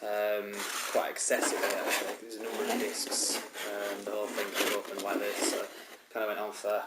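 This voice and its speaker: A man's voice: fairly low pitch, completely flat delivery, brisk. Man with a cold, rambling review. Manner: rambling, many ums and restarts. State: has a head cold. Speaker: DVD reviewer. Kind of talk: casual show-and-tell review. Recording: roomy echo, loud background hiss.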